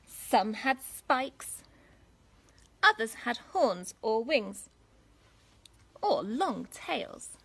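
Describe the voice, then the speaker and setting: A woman reading a children's story expressively as a school storyteller, with dramatic, deliberate phrasing for young listeners. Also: a little high, sing-song, gravelly, a bit bright, breathy edge.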